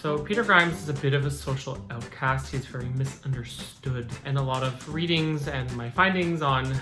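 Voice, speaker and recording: A man's voice: mid-range voice, moderate pace, music in the background. A man, an opera singer, explaining his score preparation to camera in a thoughtful, explanatory way. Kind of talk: vlog talking to camera.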